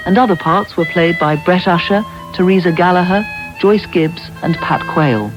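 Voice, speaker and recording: A man's voice: sits high, normal speed. British male radio announcer over music. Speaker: radio announcer reading closing credits. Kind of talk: scripted broadcast announcement. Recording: dull medium-wave radio recording. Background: loud music.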